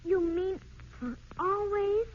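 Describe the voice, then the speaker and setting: Polite hopeful little girl, super high voice, old radio play, tinny narrow sound. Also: takes it slowly, a little hiss or hum. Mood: hopeful, eager. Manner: polite, wistful, eager. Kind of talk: old radio drama acting.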